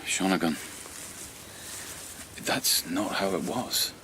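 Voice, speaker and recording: An actor playing a steady court lieutenant in an audio drama, questioning in a measured, insistent way. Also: male voice, sits low, slight background noise, exaggerated voice. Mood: probing, insistent. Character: steady, probing male lieutenant of the imperial court. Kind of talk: low-key audio drama acting.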